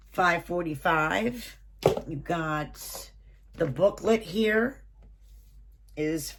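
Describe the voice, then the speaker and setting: A woman's voice: on the low side, noisy background. A woman with a stuffy, nasal voice as if getting a cold, unboxing a subscription box at home, reading out items and prices with chatty asides. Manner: reads item lists and prices, chatty asides. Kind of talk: casual unboxing, reading from a booklet. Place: small room at home.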